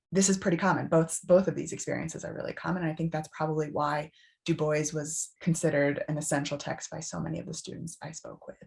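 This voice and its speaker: A woman facilitating a session and introducing video clips in a measured, explanatory way. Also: sits low, gravelly, darkish tone.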